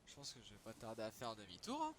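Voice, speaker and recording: Excitable young man streaming a game. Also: on the low side, fast, background noise. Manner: excitable, swears freely, slangy. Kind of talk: casual gaming chat.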